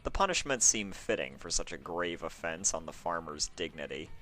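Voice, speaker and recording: A man's voice: deep voice, big pitch swings, moderate pace, bright, forceful tone, faint music behind. A man with a sore throat reading fan fiction as a scripted story narration.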